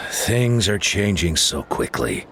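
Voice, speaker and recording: A weary, deep clan leader. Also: male voice, big pitch swings, pushed and bright, a little hiss or hum, heavily acted. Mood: grave. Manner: grave, deliberate. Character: older male clan leader, weary and grave. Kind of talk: video game voice acting.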